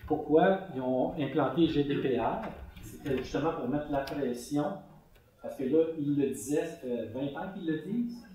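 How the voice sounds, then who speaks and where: Québécois man presenting at a conference, mellow voice, heard from a distance in a reverberant hall. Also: on the high side, moderate pace, slight background noise. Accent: Québécois French. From Canada (Quebec). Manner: conversational, explanatory with many fillers. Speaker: conference speaker. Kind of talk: conference talk answering questions. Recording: echoey hall recorded from far off.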